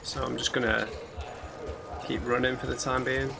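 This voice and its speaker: A man with a hoarse, gravelly voice at a middle pitch talks through his gameplay in a relaxed, musing way, chatting to viewers as he goes. Loud game gunfire and engines roar behind him. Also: normal speed, noisy background. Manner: musing aloud, talking to viewers. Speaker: let's play gamer. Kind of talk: casual gameplay commentary.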